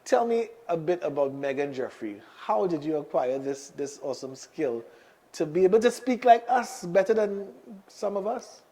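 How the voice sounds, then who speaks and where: A man's voice: a little high, animated, normal speed, warm tone, poor audio. Chatty male talk show host. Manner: chatty, easygoing, with fillers. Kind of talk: casual interview chat.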